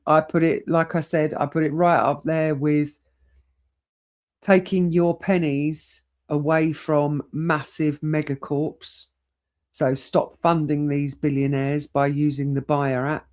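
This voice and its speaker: A woman's voice: deep voice, level tone, slow pace, a little mellow. A woman hosting a show, commenting persuasively after a played clip. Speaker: show host.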